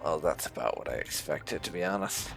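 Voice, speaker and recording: A man's voice: a bass voice, expressive, a little fast, vocal fry, a bit bright. A man hosting a casual roleplay playthrough of a game, musing and wry as he comments. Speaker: gaming video host. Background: game music.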